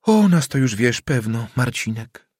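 A man's voice: mid-range voice, very animated, measured pace, darkish tone, breathy edge, dry recording, studio quality, pitched above the performer's own voice. A man voicing a worried old woman who runs a boarding house, speaking plaintively. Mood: lamenting. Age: older. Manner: plaintive, lamenting.

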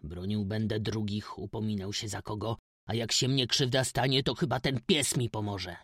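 A man's voice: fairly low pitch, fairly even intonation, normal speed, a bit bright, slightly rough, dry recording, clean studio sound. Man playing a hard, stubborn old peasant farmer. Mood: stubborn, forceful. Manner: blunt, emphatic rustic speech.

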